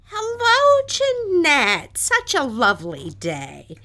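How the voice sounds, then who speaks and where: A woman playing a genteel older lady, high and lilting. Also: huge pitch swings, takes it slowly, sharp tone, full character voice. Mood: cheerful, fluttery. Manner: gracious, old-fashioned, fluttery politeness. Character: chatty, genteel older lady neighbor with failing eyesight. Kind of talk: audio drama acting.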